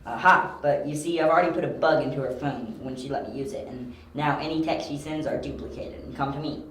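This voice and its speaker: A man acting a sharp, self-assured young detective in an amateur audio drama, talking in clipped deductions and questions. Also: on the high side, fairly even intonation, brisk, natural voice. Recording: roomy echo, faint background noise.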